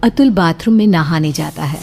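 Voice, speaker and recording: A woman's voice: deep voice, moderate pace, gravelly, dark tone, soft background music. A woman reading scripted narration for a horror cartoon story.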